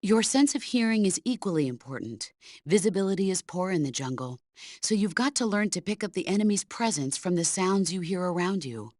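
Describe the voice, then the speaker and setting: A woman's voice: lowish voice, no echo, clean studio sound. A middle-aged woman playing a stern, commanding veteran soldier who mentors her former protégé in a video game. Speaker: military mentor character. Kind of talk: video game voice acting, radio briefing dialogue.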